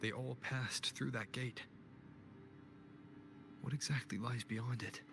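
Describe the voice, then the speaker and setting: An actor playing a calm young male hero in a game cutscene, speaking in a steady, reassuring way. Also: medium pitch, slow pace, noisy background. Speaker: protagonist. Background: game music.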